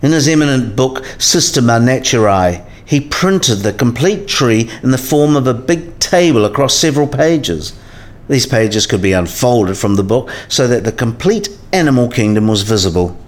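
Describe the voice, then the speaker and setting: A man's voice: mid-range voice, animated, normal speed, natural voice. A man playing a learned professor in a radio play, explaining science history in a precise, didactic way. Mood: measured, instructive.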